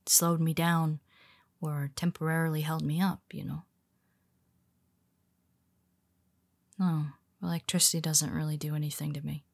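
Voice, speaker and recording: A woman's voice: low-pitched, level tone, a little fast, gravelly. A woman playing a powerful, blunt but secretly vulnerable superhero in an intimate audio roleplay, speaking conversationally with hesitant asides. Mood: smug, matter-of-fact.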